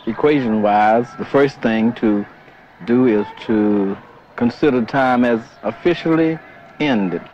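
A man speaks very slowly at an ordinary pitch. The old archive recording is muffled, with faint noise behind his voice.

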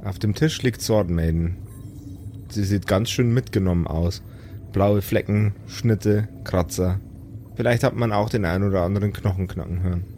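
A man's voice: lowish voice, normal speed, warm tone. Male game master narrating over background noise. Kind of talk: improvised scripted-sounding narration of a tabletop audio drama.

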